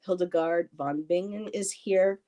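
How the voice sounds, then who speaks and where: A woman's voice: on the low side, a little slow, mellow. A woman in her fifties hosting a live stream, chatting casually with a friend and reading out viewer comments, rambling and laughing often. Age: 50s. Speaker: host.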